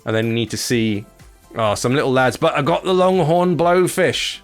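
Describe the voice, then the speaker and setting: Chatty guy talking over gameplay, mid pitched voice, informal n slangy, music behind him. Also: steady tone, normal speed. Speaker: games presenter. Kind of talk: casual gameplay commentary.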